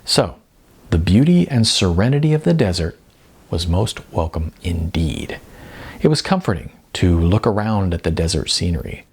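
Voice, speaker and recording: This is a man reading scripted fiction aloud. His voice sits fairly low and has a creaky, husky grain. His intonation rises and falls in a lively way across the narration. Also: moderate pace. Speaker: audiobook narrator.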